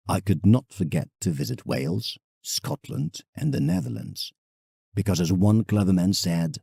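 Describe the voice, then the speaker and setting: A man's voice: low voice, creaky, warm tone, breathy edge, no echo, clean studio sound, heavily acted. A man playing a proud, reflective English landscape painter in an audio drama, telling his travels formally as a letter to a friend.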